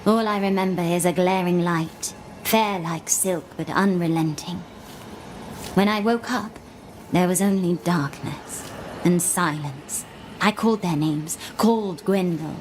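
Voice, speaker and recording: A woman playing a gentle, grieving blind keeper of old magic in a video game, speaking in hushed, solemn, lyrical short phrases. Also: fairly low pitch, unhurried, slight background noise, lightly acted. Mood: sorrowful. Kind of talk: video game character acting.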